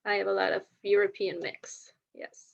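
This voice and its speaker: A woman from Belgium whose first language is French, speaking as a soft-spoken, hesitant podcast guest. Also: medium pitch, flat delivery, moderate pace, vocal fry, dull audio.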